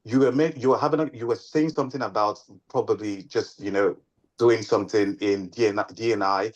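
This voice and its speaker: A man moderating a panel, asking long questions in a halting way and repeating words. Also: fairly low pitch, fairly even intonation, lo-fi sound.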